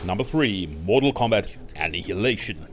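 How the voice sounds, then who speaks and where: A man's voice: lowish voice, animated, moderate pace. A man presenting a scripted film review as a ranked list, in the manner of a video narrator. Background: music.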